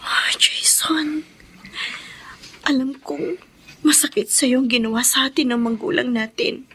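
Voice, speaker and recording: A very high, bright female voice plays a burdened elder sister. She is caring yet desperate, pleading with heavy emotion in Filipino radio drama style. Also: unhurried, breathy edge, exaggerated voice. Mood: tender, weary. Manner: emotional, tearful, pleading delivery.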